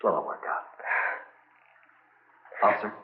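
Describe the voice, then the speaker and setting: A man's voice: a little slow, close to an everyday voice. An actor playing a shaken but forgiving army captain in his thirties in a 1950s radio drama. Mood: tender, subdued. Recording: muffled narrow-band old radio recording.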